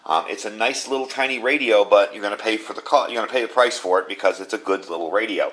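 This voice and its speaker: A man talks in a fairly low voice. He reviews a product casually, in a plain-spoken, conversational way, indoors at a table. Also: fast talker, fairly bright tone. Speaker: host reviewing gadgets. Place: small indoor room at a tabletop.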